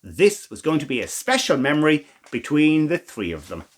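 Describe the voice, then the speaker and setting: A man reading a children's story in an expressive, theatrical way. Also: on the high side, normal speed.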